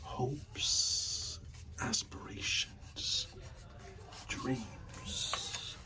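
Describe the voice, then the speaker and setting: A man speaking softly in an ASMR roleplay as an art gallery dealer, pausing mid-phrase and often slipping into a whisper. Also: recorded from a distance, over music, low-quality recording. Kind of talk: soft-spoken ASMR roleplay, partly whispered.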